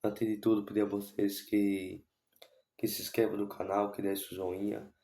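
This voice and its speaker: A young man with a Brazilian accent, hoarse from a cold, chatting casually about football in a halting way with many restarts. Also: lowish voice, little pitch movement, warm tone. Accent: Brazilian Portuguese. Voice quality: gravelly. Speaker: football vlogger. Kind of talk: casual football commentary to camera. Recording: echoey room.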